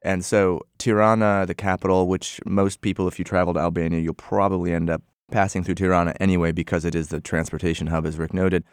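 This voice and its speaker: A man guesting on a radio travel show, relaxed and agreeable. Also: deep voice, quick pace, few pauses, clear, resonant tone, dry recording, clean studio sound. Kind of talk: radio interview.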